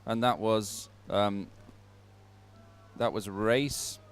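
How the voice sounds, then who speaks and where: A man presenting live sports commentary, pausing as he goes and adding upbeat, sentimental asides. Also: fairly low pitch, smooth, faint music behind. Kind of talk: live commentary on a dragon boat regatta.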